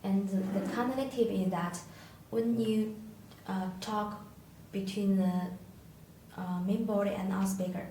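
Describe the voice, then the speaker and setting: A woman who is a non-native English speaker, giving an unscripted product tutorial in a halting, hesitant way with searching pauses. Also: mid-range voice, steady tone, dark tone. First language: not English. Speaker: product demonstrator. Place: echoey indoor room, tabletop demonstration. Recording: echoey room, distant mic, faint noise.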